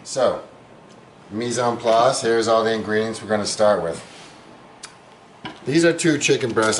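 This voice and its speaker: Male home cooking host, very low voice, casual explainer, kitchen clatter audible behind. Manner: relaxed, explanatory, chatty with small asides. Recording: clear background kitchen noise. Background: clattering utensils and dishes.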